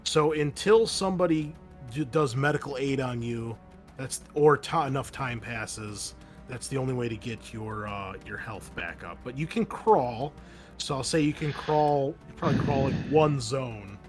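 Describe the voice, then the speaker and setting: A man running a tabletop game as game master, in a casual, relaxed way with frequent fillers. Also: medium pitch, measured pace, soft background music.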